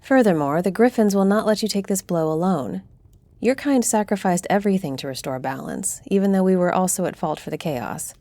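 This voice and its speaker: A woman acts out a solemn griffin-shifter diplomat in a fantasy audio drama. She speaks formally and deliberately in a fairly low, dark-toned voice, recorded dry and with real authority. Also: expressive, no room sound. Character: stately female griffin-shifter council leader, solemn, fair-minded diplomat. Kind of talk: fantasy audio roleplay acting.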